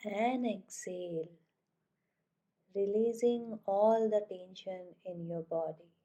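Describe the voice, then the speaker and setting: A woman leads a guided meditation as a yoga nidra teacher. Her voice is dark and relaxed, at a middle pitch for a woman. She speaks very slowly and gently, giving each soothing instruction in a measured way. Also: muffled recording. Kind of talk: guided meditation, scripted narration.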